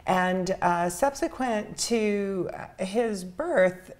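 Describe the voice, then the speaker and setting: A middle-aged woman with an American accent, telling her personal story earnestly and reflectively as an interview guest, pausing between phrases. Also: mid-range voice, darkish tone. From New York City, United States. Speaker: interview guest, charity founder.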